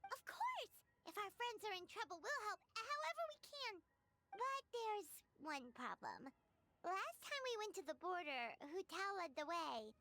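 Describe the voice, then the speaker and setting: A woman voicing a small, excitable fairy girl companion in a video game, with a squeaky childlike voice and a dramatic, exclamatory delivery. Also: measured pace, exaggerated voice. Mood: earnest, eager.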